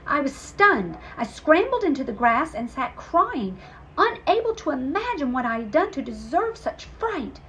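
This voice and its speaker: A woman voicing a gentle, wondering young female cat in an earnest storybook reading. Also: sits high, sing-song, a little slow, a little hiss or hum, full character voice, poor audio. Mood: frightened, dramatic.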